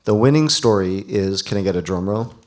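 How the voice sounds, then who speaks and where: A man hosting a live awards event, announcing in an informal, halting way and correcting himself as he goes. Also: fairly low pitch, expressive, darkish tone. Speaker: awards ceremony host. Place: live event before an audience.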